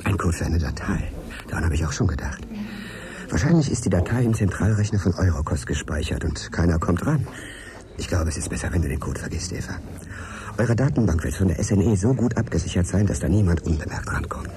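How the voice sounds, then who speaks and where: Earnest, fast-talking low-voiced male journalist. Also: animated. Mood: cautious. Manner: probing, earnest, conversational. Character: inquisitive male journalist, earnest, courteous, determined bachelor. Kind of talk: naturalistic radio drama dialogue. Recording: crowd chatter behind, café scene. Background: café crowd chatter.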